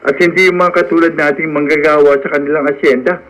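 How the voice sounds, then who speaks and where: A down-to-earth farmhand, acted by a man with a slightly high voice, in a radio drama. He talks frankly and skeptically, like a practical friend. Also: steady tone, fast, a bit bright, close to an everyday voice, low-quality recording. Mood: teasing, realistic. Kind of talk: Filipino radio drama acting.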